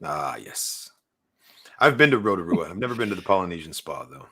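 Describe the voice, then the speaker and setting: Bright-voiced New Zealand man chatting. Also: low-pitched, very animated, a little fast, husky. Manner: enthusiastic, laughs often, fillers. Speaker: podcast host. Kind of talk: casual chat.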